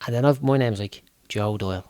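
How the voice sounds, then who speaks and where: A young man with a Dublin accent hosting a casual interview, chatty and quick to laugh, with the odd swear word. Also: medium pitch, mellow, smooth, no echo, studio quality. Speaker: podcast host.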